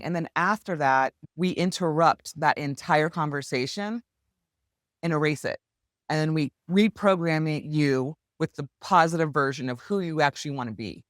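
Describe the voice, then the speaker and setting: A woman speaking as a podcast guest, telling her story in an earnest, heartfelt and animated way. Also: low-pitched, dry recording, clean studio sound. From United States. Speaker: podcast guest, hypnosis healer. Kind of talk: candid conversational storytelling.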